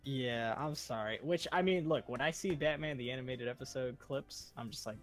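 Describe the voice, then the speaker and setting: A woman's voice: a bass voice, normal speed. A woman in casual livestream chat, talking in an informal, rambling, sweary way. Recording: music behind the voice. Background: background music.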